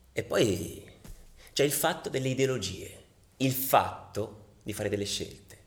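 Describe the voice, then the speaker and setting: An actor playing a passionate, embittered football ultra in a halting, colloquial confessional monologue for radio theatre. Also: male voice, mid-range voice, lightly acted. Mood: indignant. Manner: halting, colloquial, dropping to near-whispers.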